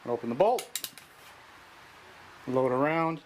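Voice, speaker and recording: A man's voice: medium pitch, lively intonation, slow. A man from Canada presenting a product test as a video reviewer, explaining each step in order. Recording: noisy video audio with background ambience.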